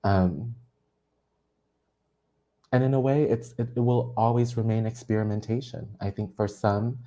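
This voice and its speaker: A poet whose first language is Vietnamese, speaking earnestly about their craft in a lively conversation and laughing often. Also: mid-range voice. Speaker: poet guest.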